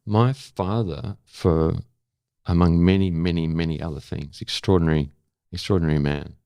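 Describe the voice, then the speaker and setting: Deep, gravelly older Australian man. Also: fairly even intonation, moderate pace, dark tone, no room sound, studio quality. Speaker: interview guest, former foreign correspondent. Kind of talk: dramatic spoken-word recital of own prose, then reflective conversation.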